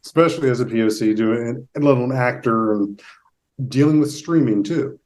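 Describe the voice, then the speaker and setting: A man's voice: mid-range voice, normal speed, darkish tone. A man hosting a podcast, interviewing his guest in a conversational style. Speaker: podcast host and interviewer, therapist.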